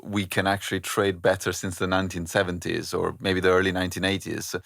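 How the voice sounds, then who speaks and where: A man in his thirties from Italy with an Italian accent, a podcast guest talking thoughtfully with many pauses and fillers. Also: low-pitched, little pitch movement, vocal fry, fairly bright tone, dry recording, clean studio sound. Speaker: orchestra director, podcast guest. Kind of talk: conversational interview.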